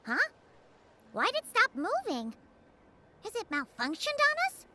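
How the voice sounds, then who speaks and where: Game role: little excitable companion, very high-pitched, blunt, inquisitive, animated. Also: female voice, measured pace, heavy background noise, full character voice. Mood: puzzled. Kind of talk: animated video game voice acting.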